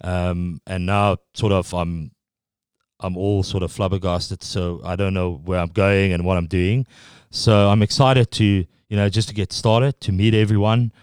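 A middle-aged South African man with an Afrikaans accent, a guest talking casually and haltingly in an interview, with many restarts. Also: low voice, level tone, a little mellow, smooth, no room sound, clean studio sound. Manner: halting, full of restarts and fillers.

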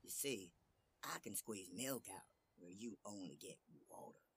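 A man's voice: sits low, lively intonation, unhurried, a bit bright, slightly rough, full character voice. A man voicing a small, boastful tailor hero in a storybook tale, cheeky and exclamatory. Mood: smug. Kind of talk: storybook character voice.

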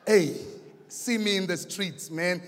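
Slow, dramatic preacher with a Kenyan accent. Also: male voice, sits high, big-room sound. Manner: dramatic, swings from hushed to shouting. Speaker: pastor. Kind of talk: sermon with storytelling. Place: church hall.

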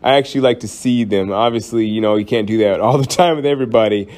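A man's voice: on the low side, quick pace, darkish tone. A man hosting his own podcast, chatting casually and rambling with lots of fillers and jokes. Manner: rambling, joking, frequent fillers and restarts. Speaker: podcast host. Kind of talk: casual solo podcast chat.

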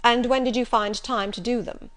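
A woman reading the lines of an imperious, brusque gentleman who questions and gives orders in a sardonic, commanding manner. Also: fairly high pitch, steady tone, normal speed, voice pushed up, lightly acted.

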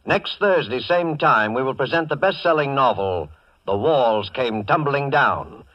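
A man's voice: mid-range voice, expressive, measured pace. A man with an American accent announcing a radio suspense drama in a formal 1940s style. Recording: dull vintage radio recording with loud music. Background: loud music.

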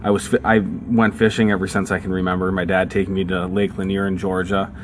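A man's voice: low-pitched, level tone, brisk, background noise. A young man with a northern Minnesotan accent, co-hosting a podcast and telling stories in a casual, rambling way with many fillers. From southern United States. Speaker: podcast co-host. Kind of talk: casual chat.

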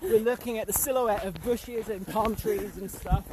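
A man's voice: high voice, steady tone, moderate pace, crowd noise, low-quality recording. A man co-hosting a casual podcast chat, hesitant and full of fillers.

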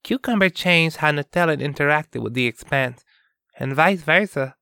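A man doing a calm lore-telling young woman. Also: fairly high pitch, vocal fry, clean tone, no room sound, clean studio sound, voice pushed up. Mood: explaining. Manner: explanatory, measured storytelling.

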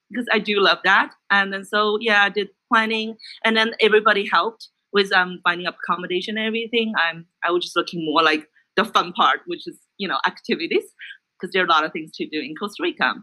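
A woman's voice: mid-range voice, fast, sharp tone, rough recording. A woman from China casually sharing personal stories as a guest in a group conversation.

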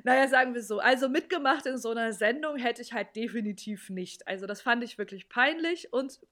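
Candid, slightly high-voiced woman podcaster. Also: fairly quick, fairly bright tone. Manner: candid storytelling, laughs at herself. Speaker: podcast co-host. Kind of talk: casual chat.

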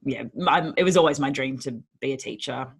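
A woman's voice: a bass voice, fast, creaky. A young Australian woman from Sydney, a guest in a casual interview, talking in an upbeat, chatty way with many fillers and frequent laughs. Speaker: guest, former teacher and Paralympian.

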